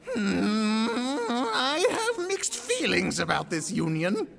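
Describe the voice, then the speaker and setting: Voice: mid-range voice, wildly swooping pitch, takes it slowly, gravelly, crowd noise, full character voice. An actor playing a grandiose, suspicious rebel leader of a ragtag band in an audio drama, speechifying theatrically. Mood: suspicious, pompous.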